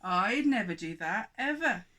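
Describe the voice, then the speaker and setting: A woman's voice: mid-range voice, expressive, a little slow, bright, forceful tone. A woman voicing a silly, earnest yak defensively protesting innocence in a children's storybook.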